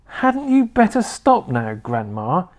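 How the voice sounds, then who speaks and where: A woman's voice: lowish voice, wildly swooping pitch, slow, a little mellow, pitched above the performer's own voice, heavily acted. A woman voicing an eager young boy who exclaims and pleads with his grandmother. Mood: pleading.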